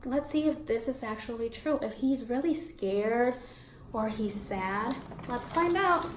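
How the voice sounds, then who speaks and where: High-pitched woman teacher, encouraging, echoey room. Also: unhurried. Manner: encouraging, expressive, repeats and confirms children's answers. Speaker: elementary school teacher. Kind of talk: read-aloud lesson for young children, asking questions. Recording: echoey room with background noise.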